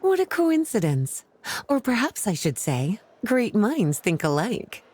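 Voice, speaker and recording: A woman's voice: mid-range voice, very animated, measured pace, a little mellow, breathy edge. A woman playing a languid, teasing, elegant sorceress in a video game, with a playful drawl.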